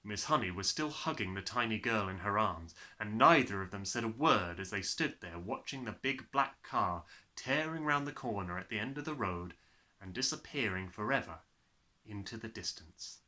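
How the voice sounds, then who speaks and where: A man reading a children's story as an expressive narrator. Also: on the low side, normal speed.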